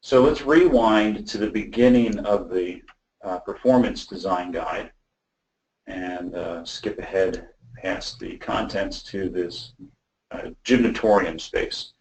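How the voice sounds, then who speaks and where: Man, fairly deep voice, technical instructor, informal explanatory delivery, halting phrasing, noticeable room echo. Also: a little mellow, dull audio. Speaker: audio system design presenter. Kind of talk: informal webinar walkthrough. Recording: roomy, echoey voice pickup.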